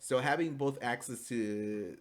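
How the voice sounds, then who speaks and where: A young man from the United States giving a spoken class presentation, halting, with many fillers and restarts, at times switching into fluent Spanish. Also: medium pitch. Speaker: student.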